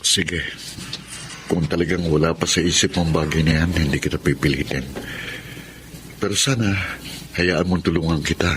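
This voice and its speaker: Very deep-voiced devoted suitor. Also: male voice, steady tone, moderate pace, pauses often, background noise. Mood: tender. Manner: gentle, sincere. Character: devoted, patient young male suitor. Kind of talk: Tagalog radio drama acting.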